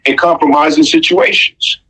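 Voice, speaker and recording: A man's voice: on the high side, huge pitch swings, moderate pace, gravelly, pushed and bright, husky, rough recording. A man giving an opinionated, conversational monologue, blunt and emphatic, with rhetorical questions and pointed repetition. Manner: blunt, profane slang.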